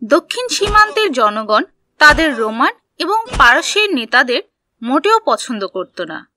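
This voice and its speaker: High, bright, animated woman narrator. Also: measured pace, soft background music. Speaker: narrator of an Islamic history cartoon for children. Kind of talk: scripted storytelling narration.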